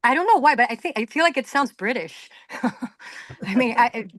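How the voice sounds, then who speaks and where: A woman's voice: a little high, lively intonation, moderate pace, fairly bright tone, no room sound. Hesitant woman, close dry sound. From Dominican Republic. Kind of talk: casual group chat.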